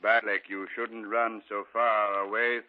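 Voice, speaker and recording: A slow, quietly courteous stranger with a lowish voice, as a man acts him out, heard through a tinny, hissing old radio broadcast. He never hurries, and his politeness feels faintly uncanny. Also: little pitch movement, lightly acted. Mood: polite. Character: eerie soft-spoken male stranger with a dog. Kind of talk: 1940s radio acting.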